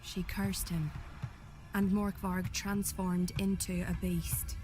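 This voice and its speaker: Solemn, guarded priestess. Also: female voice, lowish voice, little pitch movement, darkish tone, soft background music. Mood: grave. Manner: formal, measured. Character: solemn, guarded priestess of a pagan island sanctuary. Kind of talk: fantasy video game acting.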